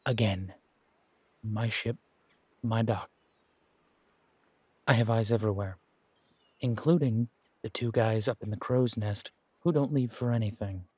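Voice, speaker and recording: A man playing a menacing, wry pirate captain in a roleplay audio, speaking in a taunting, deliberate drawl with dramatic pauses. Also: on the low side, darkish tone, slightly rough, no echo. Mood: stern, probing. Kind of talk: scripted roleplay audio acting.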